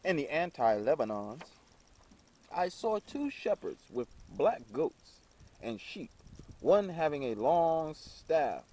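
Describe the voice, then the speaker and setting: A man reading an old book aloud in a halting way, stumbling over words and repeating phrases. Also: on the high side, big pitch swings, dark tone, a little hiss or hum. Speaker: reader.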